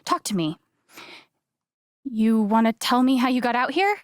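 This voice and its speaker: A woman's voice: fairly high pitch, animated, unhurried, no echo, clean studio sound. A woman voice acting an earnest, caring young heroine in a video game, with casual, hesitant, naturalistic delivery. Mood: urgent, reassuring. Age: young adult. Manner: casual, hesitant, conversational phrasing.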